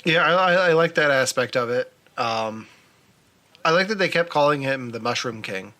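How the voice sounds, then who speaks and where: Dude co-hosting a podcast, bright clear-cut tone, mid pitch, chatting about films. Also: male voice, lively intonation, moderate pace. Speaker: podcast co-host. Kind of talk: casual chat about films.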